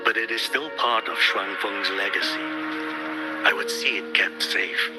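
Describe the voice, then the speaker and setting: An actor playing a wise, solemn old male village chief in a video game, speaking in formal, measured, old-fashioned phrases. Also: fairly low pitch, lightly acted. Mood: warm, earnest. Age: older. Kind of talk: dramatic video game voice acting. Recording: game audio with loud music behind.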